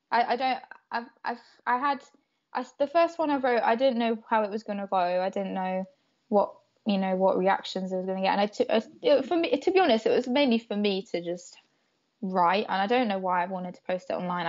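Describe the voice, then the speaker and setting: A young woman, a native Croatian speaker, talks in a relaxed, hesitant way with plenty of fillers and keeps breaking into giggles. Her voice sits at an ordinary middle pitch for a woman. The recording is dull and lo-fi. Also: a little fast. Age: young adult. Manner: giggly, laughs often between hesitant, filler-heavy phrases. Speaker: podcast guest, wrestler. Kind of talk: casual interview chat. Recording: dull lo-fi podcast audio.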